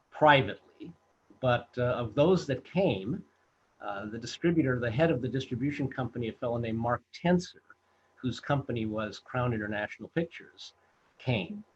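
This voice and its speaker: A man in his sixties from the United States, a film director telling his story in an interview, halting with many fillers and restarts. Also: mid-range voice, lively intonation, slightly rough, muffled recording. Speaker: film director and producer, guest.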